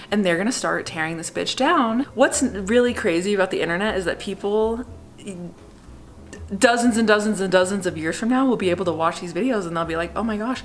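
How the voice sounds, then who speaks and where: A young woman in her twenties talks casually and cheekily as she walks a camera through her unfinished house. Her voice rings around the bare stud walls, and background music plays beneath it. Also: medium pitch. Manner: bubbly, cheeky and profane. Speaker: vlogger giving a house tour. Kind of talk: casual chatty walkthrough. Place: unfinished house under construction, indoors. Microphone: small clip-on mic. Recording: echoey framed-out rooms with music behind.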